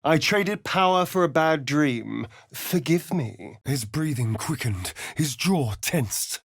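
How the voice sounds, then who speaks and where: An actor playing a sly, vain vampire rogue in a video game, with an arch, sardonic, flamboyant delivery. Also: male voice, fairly high pitch, expressive, slow pace, dry recording, studio quality, heavily acted. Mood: wry, brooding. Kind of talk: video game voice acting, dramatic lines and narration.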